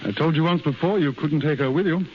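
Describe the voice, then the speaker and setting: Higher-pitched actor as frank American consul. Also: male voice, fast talker, natural voice. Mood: grave, probing. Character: frank, avuncular American consul, worldly and pragmatic. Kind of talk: 1930s radio acting. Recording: muffled, hissy 1930s radio broadcast recording.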